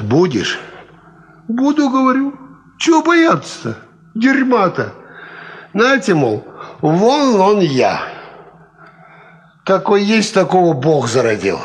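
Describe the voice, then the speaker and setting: A drunken old peasant soldier. His high voice swoops widely from muttering to bellowing, boastful and fearless. It has the sound of a 1950s Soviet radio drama. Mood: reckless, boastful. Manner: drunken drawl, swinging from mutters to shouts. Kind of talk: Soviet radio play acting.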